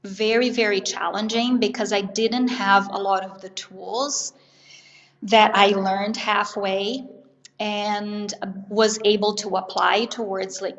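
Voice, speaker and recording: A woman talks in a chatty, upbeat way as a guest on a live show. Her voice sits at a middling pitch. It carries a long, lingering reverb, as if heard in a big hall. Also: a bit bright. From Brazil. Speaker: guest, Pilates instructor. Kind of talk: casual interview chat.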